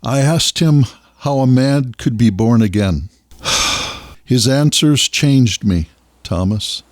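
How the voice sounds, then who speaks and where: A gentle biblical scholar voiced by a man in an audio drama. His mid-pitched voice is recorded dry and close. He speaks gravely and reflectively, with measured care. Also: no echo, lightly acted. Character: Nicodemus, gentle reflective male Sanhedrin scholar.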